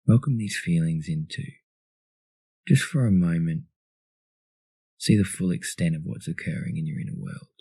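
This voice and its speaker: Male narrator for guided meditation. Deep, soft dark timbre, calm and soothing delivery. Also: normal speed, vocal fry, long pauses, slightly rough, no room sound, rough recording.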